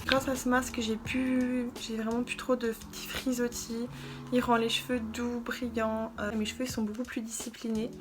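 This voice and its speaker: A woman's voice: fairly high pitch, little pitch movement, normal speed, background noise. A young woman beauty vlogger chatting casually and gushing about products in a haul video. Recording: music playing behind. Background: background music.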